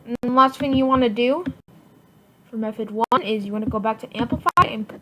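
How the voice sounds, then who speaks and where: A woman giving a casual software tutorial in informal, halting step-by-step instructions. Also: a little high. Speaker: tutorial presenter. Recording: noisy home computer mic, clicks.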